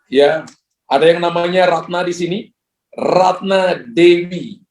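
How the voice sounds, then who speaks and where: A man's voice: high-pitched, takes it slowly, darkish tone, reverberant. A man, a pastor, praying prophetic words over callers and chatting with them in a joking, pastoral way. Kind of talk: prophetic prayer and ministry to callers.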